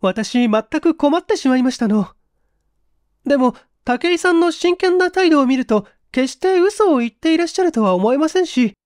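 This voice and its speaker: A woman's voice: high-pitched, darkish tone, dry recording, studio quality, pitched above the performer's own voice. A woman voicing an anxious, genteel young single woman, polite and flustered, in a dramatic audiobook reading.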